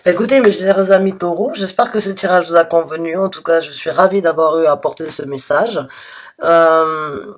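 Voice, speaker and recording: A slightly hoarse woman giving a spontaneous tarot reading to her viewers, halting and restarting often, with earnest, reassuring advice. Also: on the low side. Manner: hesitant, halting with many restarts and fillers. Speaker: tarot reader.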